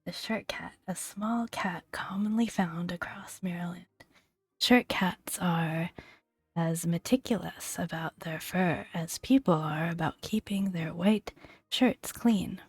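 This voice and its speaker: A woman speaking softly in a breathy near-whisper, gently and unhurriedly, in an ASMR style with pauses between phrases as she reads game entries aloud. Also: on the low side, no room sound. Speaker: gaming video host. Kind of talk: soft-spoken ASMR, reading game entries aloud with casual asides.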